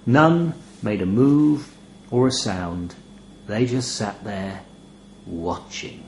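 A man reading a first-person short story aloud in a wry, expressive storytelling manner. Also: fairly low pitch, slow pace, roomy sound, a little hiss or hum. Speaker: short story reader, narrator. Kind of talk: scripted first-person fiction narration.

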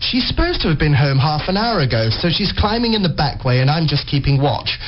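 A British actor playing a posh, bumbling, cheerful accomplice to an amateur burglar in a radio play, with a flustered, hesitant, jaunty manner. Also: male voice, fairly high pitch, big pitch swings, a little fast. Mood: flustered bluffing. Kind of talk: radio comedy-mystery acting. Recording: muffled, clearly noisy radio play recording.

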